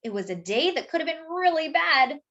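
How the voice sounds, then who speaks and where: A woman reading a children's picture book aloud, pausing to point out details in the pictures. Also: high voice, very animated, sharp tone, dull audio. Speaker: children's storyteller.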